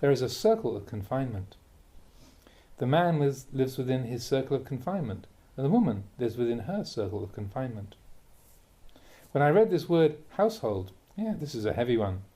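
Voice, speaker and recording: Monk guy reading out buddhist teachings, soft mellow tone, mid pitch, measured but emphatic. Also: big pitch swings. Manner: measured, emphatic, with rhetorical questions. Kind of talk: reading a translated Dharma talk aloud, with brief asides.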